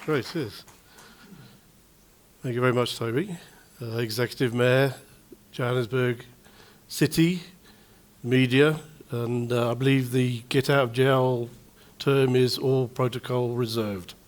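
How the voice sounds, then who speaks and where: A man's voice: mid-range voice, steady tone, unhurried, pauses often. A middle-aged man with a Manchester accent, an event promoter giving a chatty, self-deprecating address at a press conference. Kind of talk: informal speech to the press.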